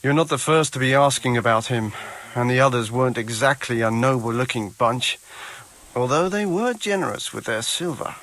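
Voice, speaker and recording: An actor playing an unhelpful, pious medieval charcoal burner in a video game, with a dry, dismissive manner. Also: male voice, medium pitch, expressive, normal speed, fairly bright tone. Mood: cautioning. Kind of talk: video game cutscene dialogue.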